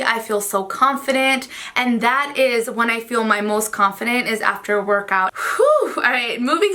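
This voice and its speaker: Young woman vlogger, first language spanish, kinda high bright voice, chatty and earnest, lots of "like". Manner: chatty, earnest, frequent "like" fillers. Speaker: beauty vlogger. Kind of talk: casual talk to camera.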